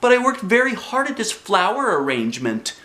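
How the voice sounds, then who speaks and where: A man reading aloud, voicing an excitable, dramatic little girl who screeches when scared. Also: high voice, fairly quick, pitched above the performer's own voice, heavily acted. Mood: sassy.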